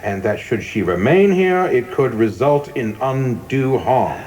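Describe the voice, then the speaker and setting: An actor playing a coldly zealous young government official in a radio play, speaking formally and insistently with polite deference that cuts. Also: male voice, mid-range voice, lively intonation, a little slow, a little mellow, highs cut off, natural voice. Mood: coldly argumentative. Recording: clearly noisy background ambience.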